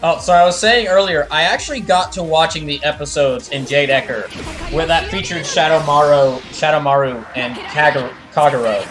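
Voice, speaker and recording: A man with a fairly high-pitched voice talks as a game streamer. He explains lore in a casual, rambling and enthusiastic way. Soft music plays behind his voice. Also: normal speed. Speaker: streamer doing live fan dubs of a video game. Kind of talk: casual livestream commentary.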